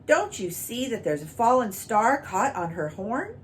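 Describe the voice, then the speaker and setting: A woman's voice: medium pitch, wildly swooping pitch, moderate pace, a bit bright, slight background noise, pitched above the performer's own voice. A woman doing a pompous, blustering storybook king. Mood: excited. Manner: blustering, irritable, grand. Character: pompous vain fussy king, man, middle-aged.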